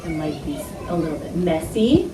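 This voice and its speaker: A woman's voice: lowish voice, big pitch swings, normal speed. Woman teacher in an echoey, noisy classroom. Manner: simple instructional phrasing with frequent questions and prompts. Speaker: early-childhood teacher. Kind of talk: interactive read-aloud lesson for young children. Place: indoor classroom with carpet. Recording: echoey, noisy room, distant microphone.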